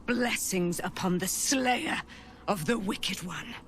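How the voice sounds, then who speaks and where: A woman's voice: mid-range voice, takes it slowly, a bit bright, a little hiss or hum. A woman playing a proud, devout holy warrior in a video game, formal and declamatory, as if proclaiming. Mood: triumphant.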